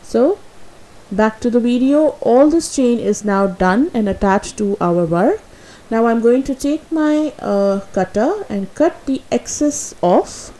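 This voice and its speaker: A woman whose first language is Urdu talks through a craft tutorial in a slow, unhurried voice-over. Her tone is soft and mellow, and she sounds a little nervous. She pauses often on "uh" and "um" and corrects herself as she goes. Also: a little high, expressive, poor audio. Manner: hesitant, with frequent fillers and self-corrections.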